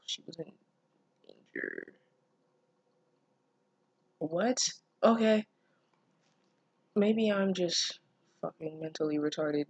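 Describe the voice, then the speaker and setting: A woman with a slightly low voice reads a story aloud in a casual, reactive way. She sounds incredulous and self-deprecating, dropping in swearing as commentary. The recording is close and dry, with little room sound. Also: no room sound. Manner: giggly, breaking off to comment. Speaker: host reading fan fiction aloud.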